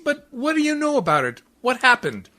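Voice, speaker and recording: A man playing a pious, earnest missionary reverend in an amateur radio play, speaking in a formal, halting, solemn way. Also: extremely high pitch, huge pitch swings, pushed and bright, heavily acted. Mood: flustered worry. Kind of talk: amateur radio play acting in old Hollywood style.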